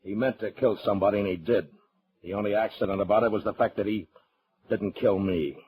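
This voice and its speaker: Grim American frontier marshal, middle-aged, deep low voice, terse, stubborn, old radio western. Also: male voice, slightly rough, lo-fi sound. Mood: stern anger. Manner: terse, authoritative, deliberate. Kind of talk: mid-century radio western acting.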